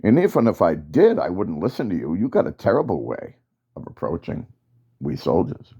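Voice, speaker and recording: A man's voice: sits low, lively intonation, normal speed, gravelly, mellow. A man voicing a doubtful male soldier who questions and mocks his commander's orders. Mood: defiant. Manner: hesitant at first, then mocking and challenging.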